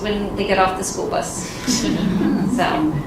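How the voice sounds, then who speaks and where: A woman's voice: mid-range voice, fairly even intonation, slow pace. A woman, a nonprofit founder, giving an earnest, informal talk on a conference panel. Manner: earnest, informal, with fillers and light laughs. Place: conference room. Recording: echoey room, distant, noisy.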